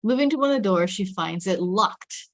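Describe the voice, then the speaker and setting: A woman narrates stage directions from a drama script at a table read. Her voice sits at a middle pitch, and the recording is close and very dry. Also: expressive, moderate pace, no room sound.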